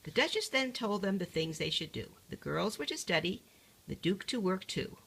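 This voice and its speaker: An older woman reading a rhyming picture book aloud like a grandmother, measured and singsong. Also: mid-range voice, sing-song, creaky, fairly bright tone, husky.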